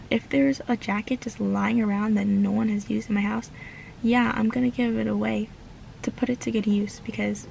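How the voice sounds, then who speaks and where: A woman's voice: a little high, creaky, slight background noise, poor audio. A woman about to turn twenty, vlogging from inside her car in an earnest, grateful, rambling way, using filler words as she talks into her phone. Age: late teens. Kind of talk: reflective casual vlog.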